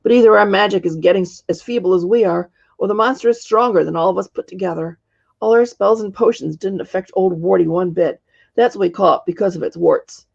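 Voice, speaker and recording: A grumbling, very old storybook witch, as a woman reader puts her on, husky and creaky. She is blunt and spry, always complaining, heard through slightly muffled audio. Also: mid-range voice, a little fast, darkish tone. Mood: exasperated. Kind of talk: storybook character reading.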